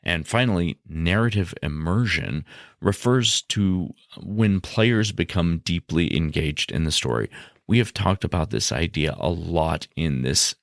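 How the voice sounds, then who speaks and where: Deep, creaky-voiced male podcast host. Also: animated, a little slow, no room sound, clean studio sound. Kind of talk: explanatory podcast monologue, semi-scripted.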